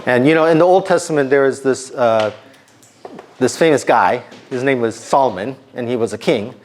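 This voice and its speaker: A middle-aged man with a slightly hoarse voice, giving an informal sermon to students in a conversational way, with many ums and restarts. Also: mid-range voice, normal speed, slight background noise.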